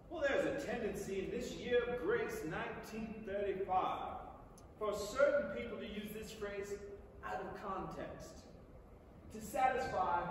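A man's voice: sits high, a little mellow. A middle-aged man acting an earnest, principled 1930s Southern defense lawyer, oratorically addressing a jury in a staged reading. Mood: measured, reasoning. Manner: oratorical, emphatic, deliberate. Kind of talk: theatrical staged reading, courtroom closing speech. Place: large theater stage, indoors. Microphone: far camera in audience. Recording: echoey theater hall, distant mic, faint noise.

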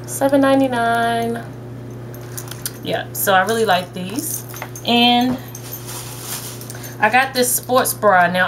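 A woman's voice: mid-range voice, a bit bright, poor audio. A young woman talks straight to her webcam from her bedroom, showing viewers what she bought. Her delivery is chatty and upbeat, with a friendly, mid-range voice. A faint hiss of background noise sits under the recording. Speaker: haul vlogger. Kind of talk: casual shopping haul chat. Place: bedroom, indoors. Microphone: webcam at desk distance.

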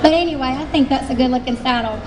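A woman's voice: high-pitched, fast talker, noisy background. A woman with a Southern US accent announcing a live fashion show at an expo, talking in a chatty, folksy sales patter with plenty of fillers. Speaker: fashion show emcee and booth owner. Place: large expo hall stage. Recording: distant voice over loud music in a hall.

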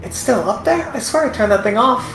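A man's voice: very high-pitched, lively intonation, a little fast, a bit bright, faint music behind, pitched above the performer's own voice, exaggerated voice. A man voicing a greedy, possessive scavenger woman in a tabletop game, petulant and insistent. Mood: smug, defiant.

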